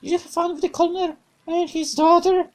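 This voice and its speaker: A woman's voice: very high voice, level tone, fairly quick, voice pushed up. A woman voicing a bubbly, cheerful young woman companion in a visual novel, with a raised, playful, exclamatory voice.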